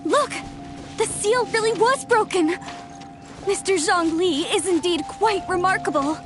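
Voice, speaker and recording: A high-voiced, earnest young archaeologist. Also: female voice, a little slow, over music. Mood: excited, admiring. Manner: earnest, polite, principled. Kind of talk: video game voice acting.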